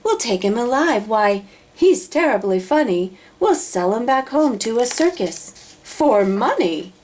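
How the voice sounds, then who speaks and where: Woman putting on excitable, high-pitched storybook crowd folk. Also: unhurried, slight background noise. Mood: astonished scheming. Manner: exclaiming, shouting. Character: excitable crowd of hunters and gawking townsfolk. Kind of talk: children's storybook read-aloud.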